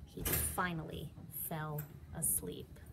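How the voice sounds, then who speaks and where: A woman's voice: deep voice, level tone, slow, creaky, heavy background noise. A woman reading a children's storybook aloud as a teacher, with dramatic, emphatic delivery.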